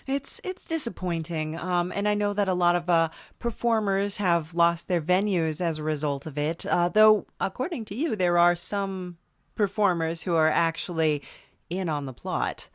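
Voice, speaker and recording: A woman hosting a casual, playful comedy interview in a halting, sympathetic way. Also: fairly low pitch, no room sound, clean studio sound. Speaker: podcast host and interviewer.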